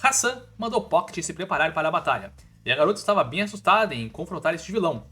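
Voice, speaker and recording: A man's voice: a little high, sing-song, fast, bright, forceful tone. A man with a Brazilian accent narrating a scripted story recap in a colloquial, informal way with casual asides. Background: background music.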